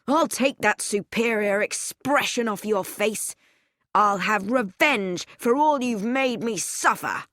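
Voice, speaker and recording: A woman's voice: mid-range voice, animated, takes it slowly, a bit bright, dry recording, studio quality. A woman reading an audiobook, voicing an unhinged young man who screams and rants in fury. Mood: raging. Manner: screaming, ranting.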